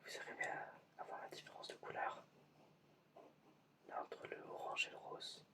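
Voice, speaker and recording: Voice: moderate pace, far from the mic. An ASMR creator whispering through a playful eye-test roleplay, asking patient, mock-puzzled questions. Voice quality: whispered, unvoiced.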